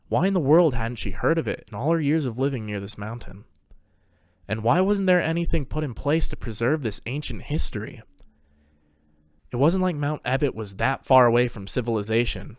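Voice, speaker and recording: A man narrates a story from a script. His voice is medium in pitch, recorded very dry with no room sound around it. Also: dry recording.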